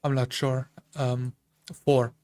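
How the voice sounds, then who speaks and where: A man's voice: medium pitch, level tone, takes it slowly, darkish tone. A man playing a tabletop roleplay game, describing his character's actions in the first person.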